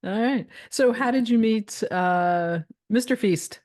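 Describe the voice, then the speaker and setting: A woman's voice: fairly high pitch, takes it slowly, darkish tone. A woman hosting a podcast, asking questions in a casual interview style. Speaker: podcast host. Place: podcast studio.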